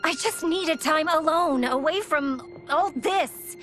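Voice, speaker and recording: A woman's voice: high voice, slow, fairly bright tone, soft background music. A woman playing a troubled, defensive young princess and heir in a video game drama, speaking earnestly. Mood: upset, defensive.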